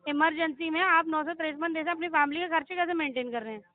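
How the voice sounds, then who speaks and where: High-pitched insistent Indian woman on phone. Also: quick pace, no room sound. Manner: insistent, confrontational questioning. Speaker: loan recovery call centre agent. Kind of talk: debt collection phone call. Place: on a phone line. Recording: tinny, narrowband phone call recording.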